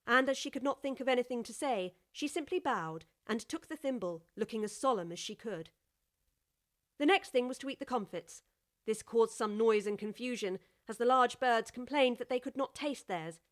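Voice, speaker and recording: A woman narrator reading a scripted children's audiobook story. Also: on the high side.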